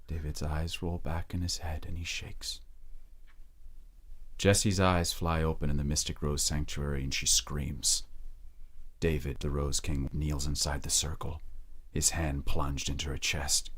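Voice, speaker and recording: Casting: male drama narrator, extremely low raspy voice, wide dynamic pitch range, grave and measured. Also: little pitch movement, fairly bright tone.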